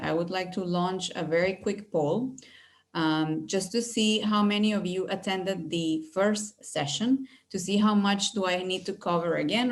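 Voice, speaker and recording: A middle-aged woman from Venezuela gives an online presentation over a video call, speaking in a fairly low voice. She sounds friendly and measured, with frequent fillers as she talks. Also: gravelly. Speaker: university recruitment director.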